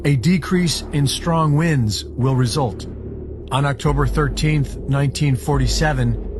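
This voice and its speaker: A man reads a documentary script in an even, factual, mid-pitched voice. Clear background noise runs under the narration.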